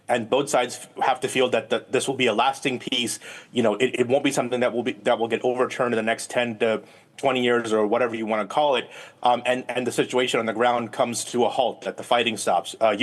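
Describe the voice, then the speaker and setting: A man speaking as a guest political analyst in a live news interview, explaining at length and often repeating words as he phrases his points. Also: mid-range voice.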